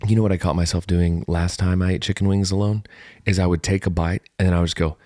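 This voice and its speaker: A man in his forties co-hosting a podcast in casual, joking chat with a deadpan, self-mocking manner. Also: deep voice, quick pace, dry recording, clean studio sound. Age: 40s. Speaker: podcast co-host.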